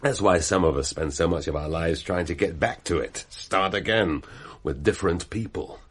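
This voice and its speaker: Very deep, husky, sneering British husband. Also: male voice, moderate pace, a bit bright. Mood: bitter, cynical. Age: middle-aged. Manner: mocking, insinuating, drawling rhetorical questions. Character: cynical, sneering middle-aged husband and businessman. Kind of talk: radio play acting.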